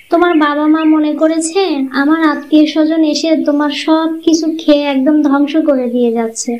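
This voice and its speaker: Cartoon dub: a woman as a sharp-tongued, scheming young wife, Bangladeshi Bengali accent, high voice, muffled lo-fi audio. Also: close to an everyday voice. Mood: aggrieved. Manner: complaining, quick retorts. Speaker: cartoon voice actor. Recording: dull lo-fi sound.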